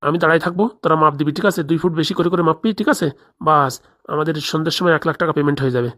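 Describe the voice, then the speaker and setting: Woman doing a shady village bigwig man, low voice, really fast, bossy, bengali country accent, funny cartoon. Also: fairly even intonation, fairly bright tone. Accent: rural Bengali. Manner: scheming, bossy, repetitive. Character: crooked, bossy male village council boss. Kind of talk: comedy cartoon dialogue.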